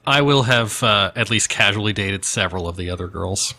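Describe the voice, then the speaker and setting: A man's voice: lowish voice, a little fast, pushed and bright. A man chatting casually as a player at a tabletop game session.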